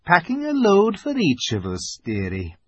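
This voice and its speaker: A man voicing a brisk, unflappable, motherly female beaver in a storybook, with cosy, homely, practical talk. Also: high-pitched, wildly swooping pitch, breathy edge, no room sound, dull audio, voice pushed up, heavily acted. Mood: brisk, calm.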